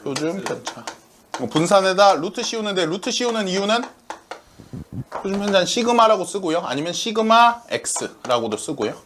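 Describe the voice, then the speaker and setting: A man teaching a math class, lively, asking his students questions, answering them himself, and working the arithmetic aloud. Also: sits high, normal speed, slight background noise. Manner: talks through arithmetic aloud.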